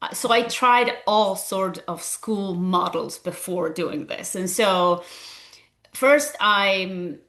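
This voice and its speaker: Earnest Argentine-accented woman, animated. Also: mid-range voice, slow. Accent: Argentine Spanish. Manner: animated, earnest, frequent fillers. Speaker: guest, school founder and physician. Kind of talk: conversational interview.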